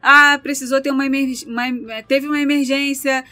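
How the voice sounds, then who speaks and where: A woman's voice: sits high, little pitch movement, fairly quick, sharp tone, no echo. A Brazilian woman with a Brazilian Portuguese accent, chatting casually and explaining things through lists of examples. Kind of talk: casual chat.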